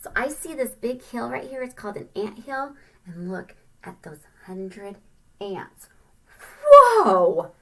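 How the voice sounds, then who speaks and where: A woman teacher reading a picture book aloud to young children, with simple, expressive explanations in between. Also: on the high side, slow pace.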